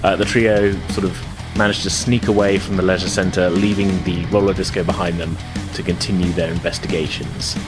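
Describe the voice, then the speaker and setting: Low-pitched British man hosting a tabletop game. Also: flat delivery, fairly quick, over music. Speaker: podcast host and game master. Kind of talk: casual spoken recap and narration for a tabletop game.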